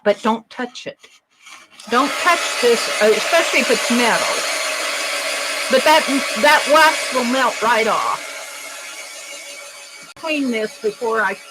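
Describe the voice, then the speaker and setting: A woman with a high, bright, projecting voice talks unhurriedly through an art demo on a livestream. She rambles and thinks aloud, chatty and loud. A faint hum of room ambience sits behind her. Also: poor audio. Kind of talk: casual livestream demo chat.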